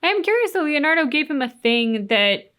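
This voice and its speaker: A woman's voice: high voice, big pitch swings, brisk, fairly bright tone. A woman co-hosting a reaction show, chatting casually in a musing, questioning way. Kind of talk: casual chat reacting to game cutscenes.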